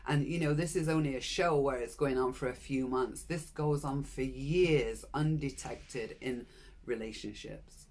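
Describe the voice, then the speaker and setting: A woman's voice: a bass voice, unhurried, a little mellow. A woman, frankly telling her own story to camera in a personal vlog and urging viewers on. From Britain. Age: 60s. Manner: frank, earnest, encouraging. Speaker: channel host.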